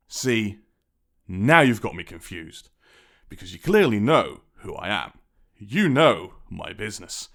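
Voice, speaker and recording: A man's voice: mid-range voice, fairly bright tone, heavily acted. A man playing a menacing, mocking British crime boss in an audio roleplay, with a taunting, conversational way of threatening. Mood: mocking menace. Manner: taunting, theatrical, conversational threats with sardonic chuckles. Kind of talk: dramatic audio roleplay monologue.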